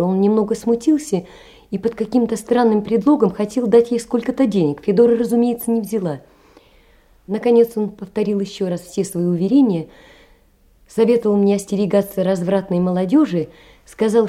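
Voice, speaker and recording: An actress in an audio drama playing a distressed but proud young poor woman, pleading earnestly in a soft, hushed voice. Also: mid-range voice, lightly acted. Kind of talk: theatrical audio drama letter monologue.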